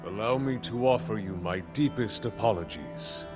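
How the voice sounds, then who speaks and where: A man's voice: on the low side, lively intonation, normal speed, over music, close to an everyday voice. An actor playing a proud, scheming warlord king with formal, slyly deferential speech in a dramatic video game cutscene. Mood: feigned reverence.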